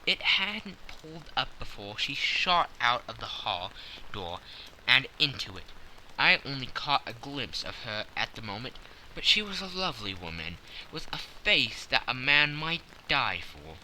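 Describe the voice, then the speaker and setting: Guy reading a dramatic audiobook, really sharp bright voice, animated delivery, bit of noise behind him. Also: mid-range voice, unhurried, poor audio. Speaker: audiobook narrator. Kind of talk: scripted dramatic story narration.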